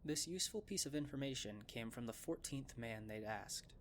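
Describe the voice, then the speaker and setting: A man's voice: medium pitch, expressive, normal speed, a little hiss or hum. A man narrating a scripted fantasy story for an anime fan dub.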